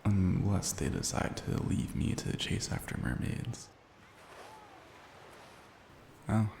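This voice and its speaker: A man playing a caring, worried boyfriend in an intimate ASMR roleplay, speaking softly and soothingly, often near a whisper. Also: fairly low pitch, gravelly, a little hiss or hum. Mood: concerned, gentle. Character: caring, worried young boyfriend comforting his partner.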